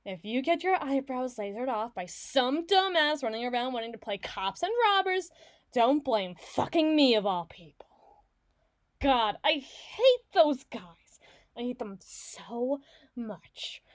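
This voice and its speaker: Woman acting brash, hot-tempered villainess, wildly animated voice. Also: high voice, unhurried. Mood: furious ranting. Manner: blustering, sarcastic, swearing, stubborn. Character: brash, foul-mouthed, hot-tempered villain woman, gruffly soft on partner. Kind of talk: ASMR roleplay voice acting.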